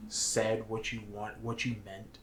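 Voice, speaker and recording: A woman's voice: a bass voice, level tone, unhurried, a little hiss or hum. A woman co-hosting a podcast, chatting casually and sometimes searching for words. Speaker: podcast co-host. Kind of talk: casual chat.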